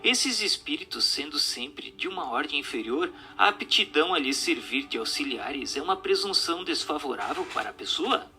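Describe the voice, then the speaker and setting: Um ator brasileiro interpretando uma voz solene e instrutiva numa radionovela espírita, em tom formal e declamatório. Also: male voice, on the high side, lively intonation, moderate pace, few pauses, music in the background, natural voice. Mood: solemn, instructive. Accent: Brazilian Portuguese. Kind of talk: scripted radio drama reading of a spiritist question-and-answer dialogue.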